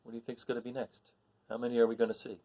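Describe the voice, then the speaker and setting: A man reading a picture book aloud to children, pausing to count along and quiz his young listeners. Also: medium pitch, level tone, mellow.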